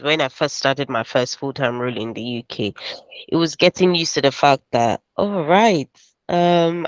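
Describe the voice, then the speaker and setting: A woman's voice: low voice, no echo. A young Nigerian woman with a Nigerian accent, answering candidly as a panelist on a webinar over a headset mic. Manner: candid, reflective, brisk asides. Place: home office, virtual background. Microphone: headset mic on video call. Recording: dull dry video-call audio.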